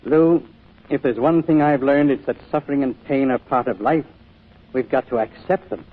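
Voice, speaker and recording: An actor playing an earnest, caring church minister who speaks in a pleading manner, in an old-time radio drama. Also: male voice, mid-range voice, moderate pace, lightly acted. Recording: muffled old radio recording.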